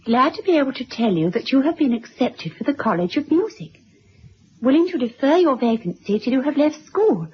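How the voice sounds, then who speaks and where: A lively young woman in an old radio play, worldly and affectionate. Her high voice swoops animatedly as she gushes and gossips. The recording is muffled. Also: brisk, a little hiss or hum. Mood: surprised, curious. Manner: gushing, breezy, emphatic. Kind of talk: period radio drama acting.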